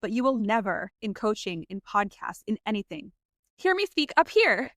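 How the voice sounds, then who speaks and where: A woman's voice: medium pitch, very animated, moderate pace, a bit bright, dry recording, studio quality. A woman hosting an interview podcast over a video call, bubbly and effusive, laughing often. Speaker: podcast host and sales coach. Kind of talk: casual interview chat.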